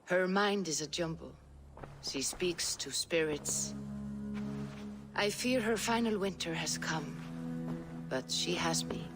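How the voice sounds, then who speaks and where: An actress voicing a solemn, mystical Norse seeress in a video game, with a hushed ritual manner. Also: female voice, fairly low pitch, animated, slow, pauses often, music in the background. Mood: grave, gentle. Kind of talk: video game character acting.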